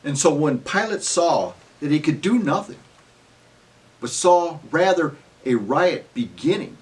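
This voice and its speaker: A man's voice: on the high side, expressive, unhurried. A man giving a scripted dramatic scripture reading as narrator, in a solemn, emphatic storytelling manner.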